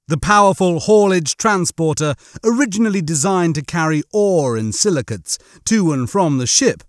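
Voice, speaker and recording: A man's voice: on the high side, no echo, clean studio sound. A man narrating a comic science-fiction audiobook from a script.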